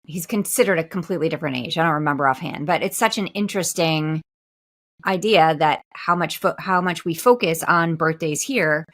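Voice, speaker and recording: A woman's voice: low voice, a little fast, a bit bright. A woman in her forties co-hosting a podcast with her daughter, telling stories in a chatty way and laughing often. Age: 40s. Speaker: podcast co-host, mother. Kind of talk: casual chat.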